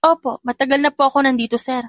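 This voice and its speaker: A woman's voice: sits high, fairly even intonation, quick pace, fairly bright tone, dry recording, studio quality, pitched above the performer's own voice. A woman voicing a feisty young female janitor in an audio drama, cheeky and defiant, though polite to elders. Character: feisty young female janitor who fights back at bullies.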